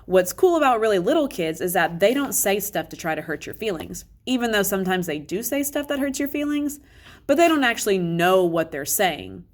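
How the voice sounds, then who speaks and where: A woman reading a children's novel aloud as an expressive, conversational first-person narration. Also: mid-range voice, fairly quick. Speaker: read-aloud reader.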